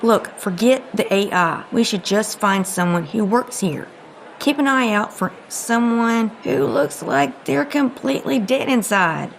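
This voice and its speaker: A woman's voice: a little high, expressive, unhurried, vocal fry, a little hiss or hum, poor audio. A woman playing a short-tempered, sardonic agent in a comedic audio drama, with a dry, scolding manner. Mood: sarcastic. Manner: dry, sarcastic, folksy scolding.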